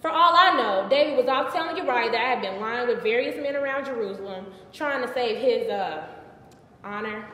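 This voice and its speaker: Woman playing indignant biblical queen, sassy stage monologue, higher-pitched, distant mic. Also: expressive, normal speed, a bit bright. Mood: frustrated. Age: early 20s. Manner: conversational, sassy, emphatic, exasperated. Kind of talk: live theatrical monologue. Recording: distant mic, echoey hall, faint noise.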